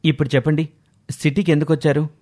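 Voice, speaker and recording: A man's voice: fairly high pitch, little pitch movement, fast, darkish tone, close to an everyday voice. A man voicing a caring, indignant young son in a storybook tale, earnest and questioning. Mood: curious, surprised.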